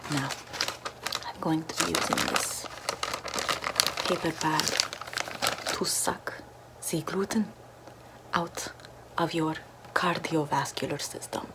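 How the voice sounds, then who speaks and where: A young woman doing a parody ASMR role-play, whispering in a deadpan, mock-mystic way into a close camera in a small bedroom. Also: deep voice, slow pace, pauses often, noisy background. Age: young adult. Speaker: ASMR creator. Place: small bedroom with floral backdrop, indoors.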